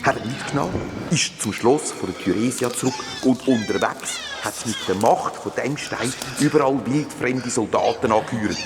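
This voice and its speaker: A man's voice: mid-range voice, moderate pace, natural voice. A man playing a fantasy-world ruler in a Swiss German children's audio drama, telling the tale in an emphatic, theatrical way. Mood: dramatic recounting. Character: grateful fantasy-world ruler freed from a spell, recounting dramatically. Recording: long reverb, music behind.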